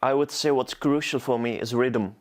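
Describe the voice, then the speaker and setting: A man's voice: mid-range voice, fairly even intonation, moderate pace. A man with a Czech accent, Czech being his native language, answering as a poet in an interview. He speaks thoughtfully and hesitantly, often stopping to restart words. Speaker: poet, guest.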